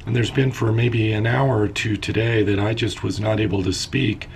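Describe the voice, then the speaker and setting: Hoarse, raspy man with a gravelly voice. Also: fairly low pitch, level tone, a little hiss or hum. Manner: unhurried, thinking aloud with fillers. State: hoarse, losing his voice. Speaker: vlogger. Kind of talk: casual vlog narration while working. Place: inside a step van.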